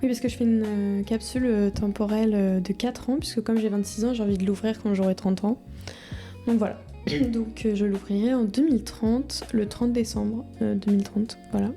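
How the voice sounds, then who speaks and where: A woman's voice: medium pitch, fairly even intonation, moderate pace, mellow. A woman, talking informally and hesitantly in a casual video diary, as if to her future self. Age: 20s. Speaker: vlogger. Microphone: microphone in front of a camera. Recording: music behind the voice. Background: music.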